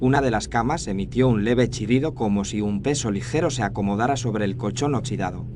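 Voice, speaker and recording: A man's voice: lowish voice, brisk. A man reading a scripted first-person horror story as its narrator. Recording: faint noise and ambience behind narration.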